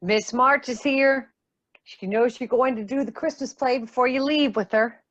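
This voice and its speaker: A woman's voice: high voice, moderate pace, a bit bright. A woman playing a cheerful, motherly figure in a radio play. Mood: motherly, cheery. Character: Marmee, cheerful motherly woman rousing her daughters. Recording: dull, band-limited, music underneath.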